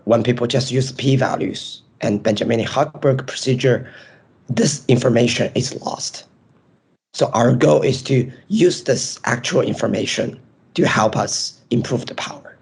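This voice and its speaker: A man with a hoarse, nearly lost voice, presenting his research in a webinar and explaining step by step over a video call. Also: medium pitch, darkish tone. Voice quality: raspy, strained. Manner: explains step by step with frequent ums.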